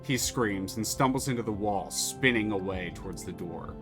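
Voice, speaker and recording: A man's voice: fairly low pitch, normal speed, music in the background. A man streaming a story game, reading its text aloud and chatting casually, swearing freely.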